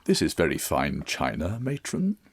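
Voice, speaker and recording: A man playing a leering reverend in a period audio drama, with a slow, lingering, unctuous manner. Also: a bass voice, wildly swooping pitch, slight background noise, exaggerated voice. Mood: creepy admiration. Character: leering male reverend, slow and creepily admiring. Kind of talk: period radio drama acting.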